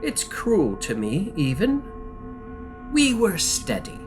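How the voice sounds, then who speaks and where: Evil knight from a nightmare, audio drama, slow n theatrical, mock-friendly taunting, music under it. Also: male voice, sits high, huge pitch swings, exaggerated voice. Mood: mocking glee. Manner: theatrical, drawn-out, mockingly friendly. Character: malevolent male dream knight, calm, taunting, hungry for vice. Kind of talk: audio drama villain monologue.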